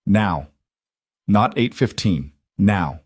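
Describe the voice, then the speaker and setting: A man's voice: fairly low pitch, animated, drawn-out words, husky, heavily acted. A man voicing a brave, composed young waitress in an audiobook, speaking in terse, controlled, firm lines. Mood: firm, resolute. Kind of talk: audiobook character dialogue.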